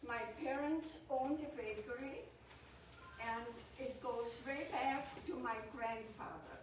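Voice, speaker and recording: An older woman with a German accent speaks slowly and deliberately, a little higher than most women. She stands well back from the microphone in a very echoey room, with clear background noise underneath. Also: expressive. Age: 80s. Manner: measured, reading from notes with small asides. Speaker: guest speaker. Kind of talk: prepared talk to an audience. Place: public library meeting room. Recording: roomy echo from a distant mic, background noise.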